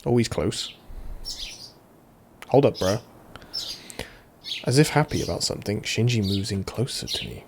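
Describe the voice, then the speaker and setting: A man's voice: lowish voice, animated, unhurried, long pauses, noisy background. A man reading a visual novel aloud as a let's player, with informal, cheeky, sweary asides. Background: game music.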